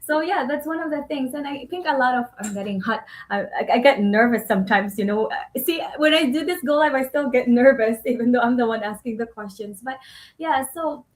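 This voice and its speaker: A chatty woman hosts a live stream, often laughing and restarting her sentences. Her voice sits a little high. The sound carries a roomy echo. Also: a little fast. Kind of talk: casual live-stream chat.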